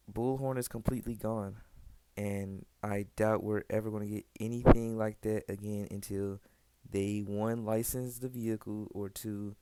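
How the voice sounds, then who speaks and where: A man's voice: on the low side, warm tone, smooth, dry recording. A man giving casual, conversational video commentary on gaming news, speaking informally and repeating words for emphasis. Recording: music behind the voice. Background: background music.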